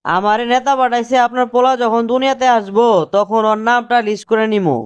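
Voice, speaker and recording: A man's voice: extremely high pitch, steady tone, a little fast, clear, resonant tone. A man voicing an eager, naive young political errand boy in a cartoon, with colloquial Bangladeshi speech. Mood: earnest. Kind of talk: cartoon dialogue.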